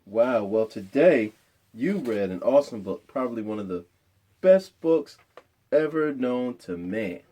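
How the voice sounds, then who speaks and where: A man's voice: medium pitch, lively intonation, measured pace, dark tone. A man chatting casually with a child as a father and host, asking simple questions in an encouraging way. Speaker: father interviewing his child on a storytime show.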